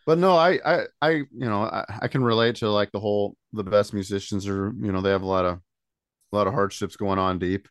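Low-voiced American man, casual and dry-sounding. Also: a little fast, smooth, no echo. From Indiana, United States. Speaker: podcast host. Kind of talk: casual chat.

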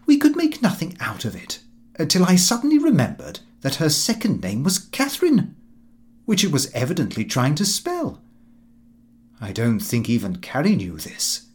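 A man's voice: on the high side, voice pushed up. A man voicing a pompous, fussy middle-aged Victorian clerk in comic audiobook narration, prim and precise in his delivery. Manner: prim, self-important, precise.

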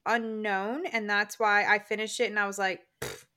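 A woman with a high-pitched, childlike voice co-hosting a casual book club podcast, emphatic and exasperated. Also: little pitch movement, moderate pace, bright, forceful tone. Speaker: podcast co-host.

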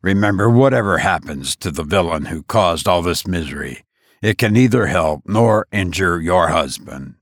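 Narrator guy doing a lawyer, low and measured, kinda cynical, dry close sound. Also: animated, no room sound. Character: calm, worldly middle-aged male lawyer.

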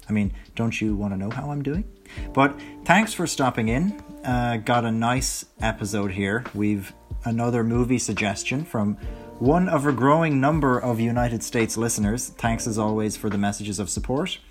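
A young man hosting his own podcast, talking in a rambling, chatty way that corrects itself, his voice hoarse from a lot of talking. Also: lowish voice. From Ireland. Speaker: podcast host. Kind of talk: casual solo podcast chat.

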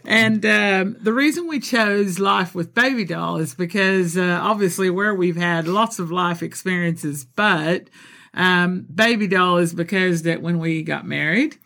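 A man's voice: high-pitched, measured pace, creaky, bright, forceful tone. A man born in Texas and raised in Colombia co-hosts a podcast with his spouse, chatting casually with frequent laughs and fillers. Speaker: podcast co-host.

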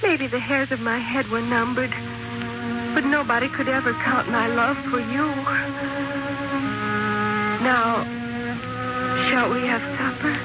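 An actress playing an eager, devoted, anxious young wife in 1940s radio drama, earnest and pleading, on a muffled, noisy old recording. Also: female voice, on the high side, fairly even intonation, takes it slowly, pauses often, loud music behind the voice. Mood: tender pleading.

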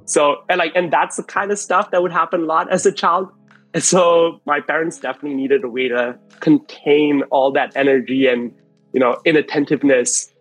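A man's voice: on the high side, fairly even intonation, normal speed, a bit bright, low-quality recording. A young man from Malaysia, a podcast guest telling stories from his childhood in a loose, rambling way full of "like" and restarts. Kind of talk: casual storytelling interview. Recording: dry close mic, faint music behind.

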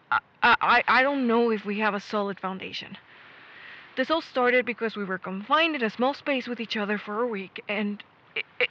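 An actor playing an anxious, cautious young male doctor in an audio drama, halting and stammering as he talks. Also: very high-pitched, dull audio. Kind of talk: naturalistic audio drama acting.

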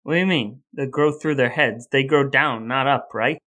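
A man's voice: medium pitch, moderate pace, fairly bright tone. A man hosting a podcast, moving between scripted introductions and casual banter. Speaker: podcast host.

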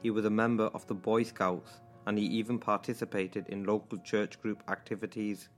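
A man's voice: fairly low pitch, flat delivery, normal speed, faint music behind. A man with a Manchester accent, co-hosting a podcast in casual chat and then reading a true-crime story, with a hesitant manner full of ums and restarts. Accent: Mancunian. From England. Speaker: podcast co-host.

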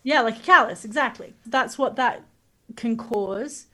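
A woman's voice: on the high side, measured pace, pushed and bright. A woman vocal coach answering interview questions casually, explaining with everyday comparisons and demonstrating sounds.

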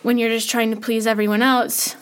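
A woman's voice: on the high side, level tone, fairly quick, clear, resonant tone. A young woman co-hosting a casual faith-based podcast, talking earnestly and thinking aloud with small restarts. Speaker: podcast co-host.

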